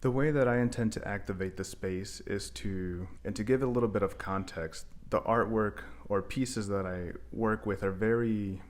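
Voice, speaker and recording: A man's voice: lowish voice, steady tone, normal speed, hardly any pauses. A man from Mexico, raised in Milwaukee, speaking as an artist in a reflective interview, earnest and wordy in his phrasing. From Cancun, Mexico, raised in Milwaukee. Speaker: live painter and visual artist. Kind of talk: reflective interview monologue about their work.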